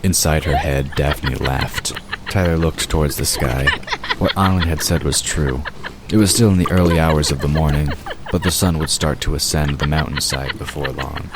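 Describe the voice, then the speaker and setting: A man's voice: very deep voice, moderate pace, very noisy. A man narrating a scripted audio drama as its storyteller.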